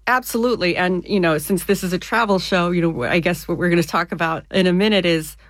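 Woman author on a radio show, lowish voice, explains stuff clearly, dry close mic. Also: fast talker, no echo. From United States. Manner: articulate, explains with everyday examples. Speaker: author, interview guest. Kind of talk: radio interview.

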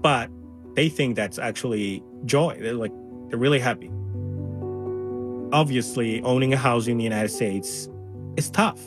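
A man's voice: medium pitch, measured pace, pauses often, music in the background. Earnest man. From Somalia. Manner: earnest, voices others' words animatedly. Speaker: interview guest. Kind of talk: conversational interview.

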